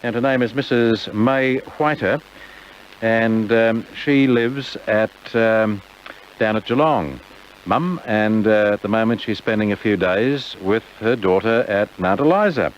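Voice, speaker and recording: A man's voice: fairly low pitch, unhurried. A man presenting an easygoing music programme on the radio, with greetings to listeners. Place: radio studio. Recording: dull old radio tape, faint noise.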